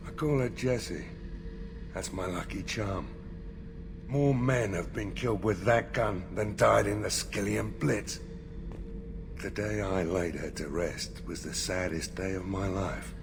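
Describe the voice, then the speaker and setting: A man playing a ruthless, boastful older mercenary in a video game, with a gravelly voice. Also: deep voice. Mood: wistful. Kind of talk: video game dialogue acting. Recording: clearly noisy ambient background.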